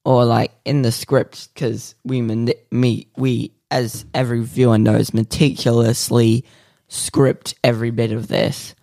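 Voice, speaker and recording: A man co-hosting a podcast, rambling through absurd improvised stories in a deadpan way with lots of fillers. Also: mid-range voice, vocal fry, dry recording, studio quality. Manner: slow, rambling, deadpan nonsense with many fillers. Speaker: podcast co-host.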